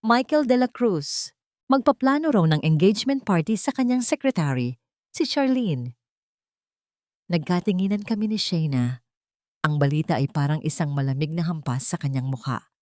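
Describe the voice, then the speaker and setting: A woman's voice: on the low side, expressive, normal speed, darkish tone, no room sound, clean studio sound. A woman reading a scripted dramatic story as an audiobook narrator.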